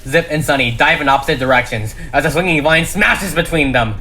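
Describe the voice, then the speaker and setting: A man's voice: a little high, fairly quick, pushed and bright. A man reading a script as dramatic narration, with emphatic, suspenseful delivery. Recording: music behind the voice.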